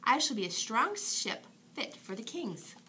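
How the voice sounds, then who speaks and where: A woman's voice: on the high side, big pitch swings, a little slow, sharp tone, slight background noise, exaggerated voice. A woman voicing an earnest little tree with big dreams in a children's bedtime story. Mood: proud, hopeful.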